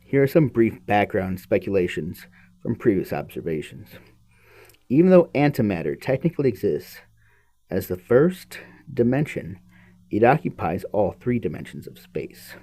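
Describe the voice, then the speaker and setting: A man's voice: fairly low pitch, big pitch swings, moderate pace, mellow. A man hosting a podcast, reading a book aloud and breaking off into casual, musing commentary. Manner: musing, informal asides to the listener.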